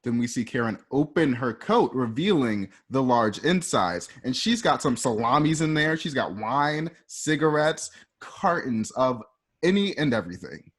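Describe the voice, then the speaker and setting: A man with a medium-pitched voice serves as narrator for a radio drama. He reads out stage directions informally, walking the listener through each scene as a conversational storyteller. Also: big pitch swings, unhurried, husky.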